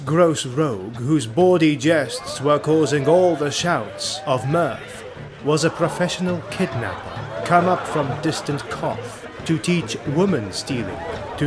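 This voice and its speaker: A man narrating a fantasy audio drama in a measured, theatrical storytelling manner. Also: fairly high pitch. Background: prominent music.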